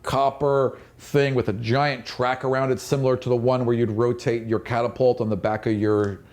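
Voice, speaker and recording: A man with a relaxed, mellow voice at a middle pitch acts as game master. He describes fantasy scenes in a conversational, improvised way, circling back over phrases as he explains. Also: moderate pace.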